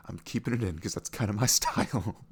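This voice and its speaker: A man's voice: lowish voice, a little fast, breathy edge. A man chatting casually through a rambling promo, amused and self-deprecating about himself. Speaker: audio creator and host.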